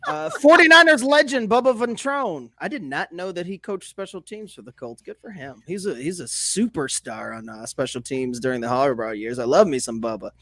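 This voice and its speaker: A man's voice: fairly high pitch, expressive, moderate pace, few pauses, sharp tone, no echo. A man co-hosting a casual sports comedy podcast, sarcastic and freely profane as he runs through his notes. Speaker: podcast co-host.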